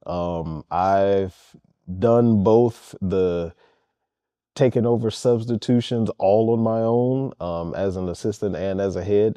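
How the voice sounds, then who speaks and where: Deep, dark-voiced man, earnest coach. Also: no room sound. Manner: earnest and emphatic, repeats key points. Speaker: head basketball coach. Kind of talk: interview answers.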